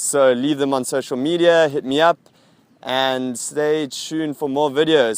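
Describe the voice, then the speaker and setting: A man's voice: on the high side, smooth, no echo. A young man with a South African accent, hosting a casual vlog and chatting upbeat to camera about teaching abroad. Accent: South African English. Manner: upbeat, chatty, with frequent fillers. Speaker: vlog host answering viewer questions.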